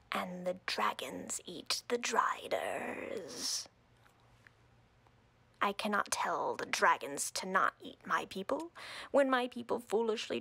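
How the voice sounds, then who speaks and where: A woman playing an imperious, coldly flirtatious drider queen in a roleplay audio, breathy and whispery, speaking haughtily and deliberately with playful menace. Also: medium pitch, sharp tone, dry recording, exaggerated voice.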